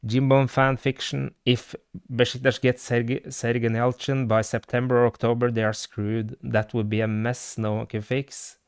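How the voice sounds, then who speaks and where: A man's voice: medium pitch, little pitch movement, moderate pace, few pauses, clean tone, no room sound, clean studio sound. A man with a Norwegian accent hosting a live stream, chatting loosely about his football club with lots of fillers and replies to chat. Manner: rambling with many fillers, answers live chat. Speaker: livestream host and football fan.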